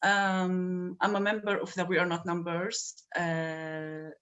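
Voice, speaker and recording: Casting: twenties woman from northern Palestine, lowish voice, hesitant panelist on a call. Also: flat delivery, slow, fairly bright tone, highs cut off. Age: 20s. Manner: hesitant, many fillers. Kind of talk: online event talk. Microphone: video call.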